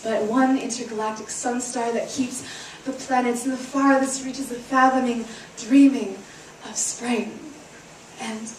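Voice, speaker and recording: A woman with a fairly high voice performs slam poetry with dramatic, deliberate phrasing and drawn-out words. She is heard from a distance in a very echoey room, with faint noise in the recording. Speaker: spoken word poet.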